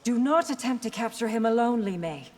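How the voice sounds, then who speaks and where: An actress playing a dutiful princess and military commander in a fantasy video game, speaking formally and with poise. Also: female voice, a little high, lively intonation, a little fast, close to an everyday voice. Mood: formal, serious. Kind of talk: video game dialogue acting. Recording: long hall reverb, noisy game backdrop.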